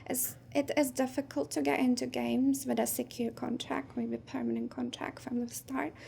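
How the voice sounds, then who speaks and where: A woman in her thirties whose first language is Turkish, speaking as a guest in a casual interview, soft-spoken and thoughtful with frequent pauses. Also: high voice, flat delivery, vocal fry, a little hiss or hum. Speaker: guest, game producer.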